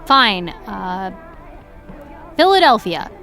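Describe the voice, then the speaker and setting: An actress playing a gloomy, weary young woman with a flat, deadpan delivery. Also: mid-range voice, extremely slow, vocal fry, faint music behind, exaggerated voice.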